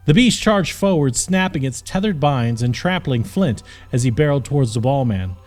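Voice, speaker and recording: Higher-pitched man narrating over music. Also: darkish tone. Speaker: audiobook narrator. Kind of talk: scripted fantasy narration.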